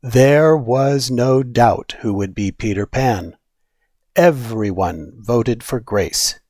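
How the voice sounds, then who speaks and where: A man reading a children's story aloud in an expressive, deliberate storytelling manner. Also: medium pitch, dry recording, studio quality. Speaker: storybook reader.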